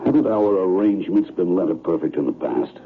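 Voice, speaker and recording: Low-voiced, businesslike underworld schemer. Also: male voice, animated, a little fast. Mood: cool, businesslike. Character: businesslike, unflappable man who hires an assassin. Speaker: actor in radio drama. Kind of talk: old-time radio drama acting. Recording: tinny old radio, faint noise.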